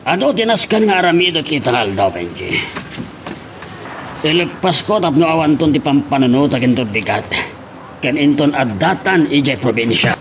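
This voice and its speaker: A man's voice: fairly high pitch, animated, normal speed, over music. A man playing a bossy employer in an Ilocano radio drama.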